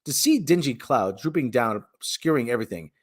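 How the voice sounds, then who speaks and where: Male narrator for a classic tale, theatrical and emphatic, mid-range voice that swings widely in pitch. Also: lively intonation, brisk, slightly rough. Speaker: reader and streamer. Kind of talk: dramatic storybook narration.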